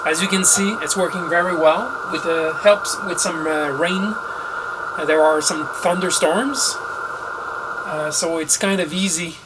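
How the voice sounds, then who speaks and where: A man's voice: fairly high pitch, measured pace, heavy background noise. A middle-aged man from Canada narrating a hobby project vlog as an amateur radio operator.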